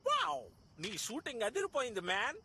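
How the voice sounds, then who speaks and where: A man voicing an excitable, easily impressed shop manager in a dubbed children's cartoon, with exclamatory delivery. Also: very high-pitched, a little fast, vocal fry, very noisy, exaggerated voice. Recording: loud music behind the voice.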